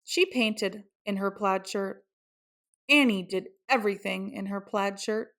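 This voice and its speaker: A woman's voice: medium pitch, measured pace, fairly bright tone. A woman reading a children's picture book aloud as a storyteller. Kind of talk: scripted children's story narration.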